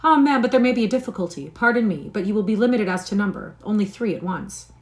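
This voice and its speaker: A woman voicing a clever, proud young lady with a quick, mocking wit. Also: mid-range voice, lively intonation, lightly acted. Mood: teasing. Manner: brisk, mocking.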